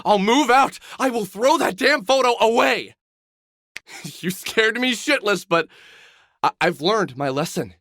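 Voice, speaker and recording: Desperate middle-aged man, animated and dry. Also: high voice, slow pace, no room sound, exaggerated voice. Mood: panicked, pleading. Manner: stammering, pleading. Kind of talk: dramatic video game voice acting.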